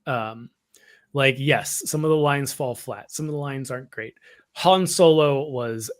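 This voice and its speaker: A man's voice: mid-range voice, measured pace. A man co-hosting a fan podcast, talking casually and explaining his views in a conversational way. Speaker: podcast co-host. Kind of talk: casual fan discussion of Star Wars.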